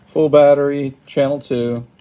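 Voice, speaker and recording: A man giving a casual step-by-step how-to, halting and thinking aloud, recorded indoors at a tabletop. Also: fairly high pitch, little pitch movement, warm tone. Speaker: product tutorial presenter. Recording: clearly noisy home recording, button clicks.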